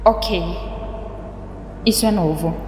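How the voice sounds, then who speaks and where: A woman's voice: mid-range voice, slow pace, warm tone, natural voice. A woman playing a defiant, sarcastic female hacker in a science-fiction audio drama, with a slangy, mocking delivery. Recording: echoey, long reverb, faint music.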